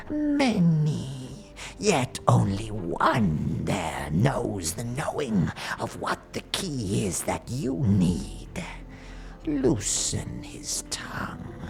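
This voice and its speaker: A woman playing an ancient, cunning hag in an audio drama, speaking in cryptic riddles with a creaky, cackling voice that slips into breathy whispers. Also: extremely low pitch, a little mellow, exaggerated voice. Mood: sly, riddling. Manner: cryptic, archaic inverted phrasing, lingering and theatrical. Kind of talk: theatrical audio drama acting.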